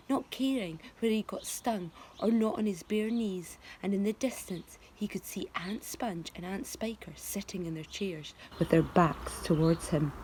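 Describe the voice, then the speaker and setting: A woman's voice: medium pitch, dark tone, slight background noise. A middle-aged woman teacher reading a children's book aloud expressively, asking her listeners questions. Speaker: primary school teacher.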